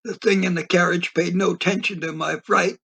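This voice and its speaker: A man playing a rambling, easily spooked beachgoer who tells his tale in dramatic, drawn-out audio play narration. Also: high-pitched, fairly even intonation, vocal fry, bright, forceful tone, slightly rough, full character voice. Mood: horrified. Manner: theatrical, drawn-out emphasis.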